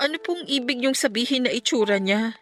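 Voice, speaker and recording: A woman playing a gentle, polite young girlfriend in a Filipino radio drama, earnest and deferential, with a Filipino accent. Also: a little high, a little fast, no room sound, soft background music, close to an everyday voice. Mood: meek, upset.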